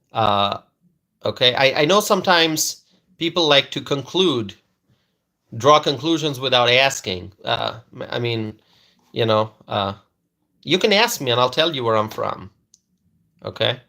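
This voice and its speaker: A man's voice: medium pitch, lively intonation, measured pace, pauses often, bright, forceful tone. A man from Bangladesh, hosting a political commentary live stream, repeating his points and tagging them with "okay?", greeting viewers by name. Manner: repetitive, keeps adding "okay?" tag questions; hesitant fillers and restarts, greets viewers by name.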